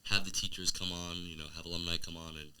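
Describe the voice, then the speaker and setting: A man's voice: low-pitched, flat delivery, quick pace, pushed and bright, smooth. A teenage student, male, hosting a podcast and asking questions in a casual way. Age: teens. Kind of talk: casual interviewing.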